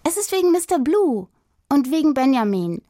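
Casting: ten-year-old girl, played by a woman, high voice, pleading and defiant, upset, children's storybook. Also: very animated, normal speed, warm tone, no echo, studio quality. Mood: sulky, angry.

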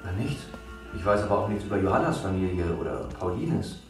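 A man's voice: low voice, expressive, a little fast. A man playing a sceptical, fair-minded father in a children's radio play, dry and challenging, heard from afar. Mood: defending, unimpressed. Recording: very echoey, distant, music behind.

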